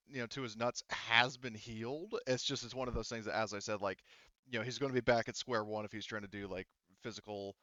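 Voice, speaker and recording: Male game master, resonant lower register, loose conversational narration full of rewording, close and slightly muffled audio. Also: a bit bright, dry recording. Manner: rambling, often rephrasing mid-sentence. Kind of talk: casual tabletop game narration.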